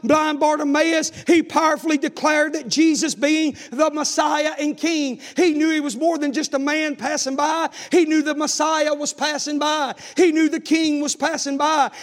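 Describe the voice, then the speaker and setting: Man, extremely high-pitched voice, evangelical preacher, impassioned exhorting cadence, repeated phrases. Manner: impassioned, repetitive, exhorting cadence with rhetorical questions to the congregation. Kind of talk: fervent evangelical church sermon.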